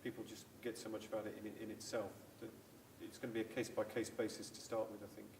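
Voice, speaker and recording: Low-voiced man, distant and echoey. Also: level tone, fast talker, gravelly. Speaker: hospital clinician. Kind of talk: panel discussion. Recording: echoey room, distant mic, faint noise.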